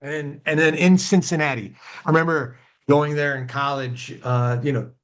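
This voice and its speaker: A man's voice: on the high side, steady tone, moderate pace. A middle-aged man hosting a podcast, chatting casually with his guest, rambling with lots of fillers like "you know" and "right". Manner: rambling, filler-heavy, affirming with repeated yeahs. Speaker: podcast host. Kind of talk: casual conversational interviewing.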